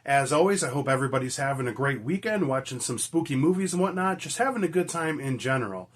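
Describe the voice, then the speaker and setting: Raspy, hoarse man chatting about movies. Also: medium pitch, expressive, quick pace. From Michigan, USA. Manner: enthusiastic, rambling, frequent fillers and mild swearing. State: hoarse from being sick. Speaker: movie collector vlogger. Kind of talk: casual chat to camera.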